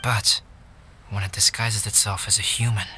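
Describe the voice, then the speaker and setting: An actor playing a calm, analytical young man in a video game cutscene, speaking in a measured, articulate and formal way. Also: on the low side, slight background noise.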